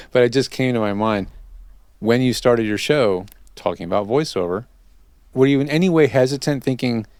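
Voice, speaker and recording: Low, creaky-voiced man hosting a casual interview. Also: a little mellow, no room sound. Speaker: interview host and audio consultant.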